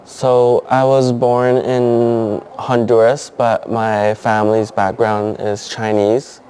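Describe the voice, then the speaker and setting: A man in his twenties from Canada, introducing himself in a slow, even way. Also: medium pitch, slight background noise. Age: 20s. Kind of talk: self-introduction.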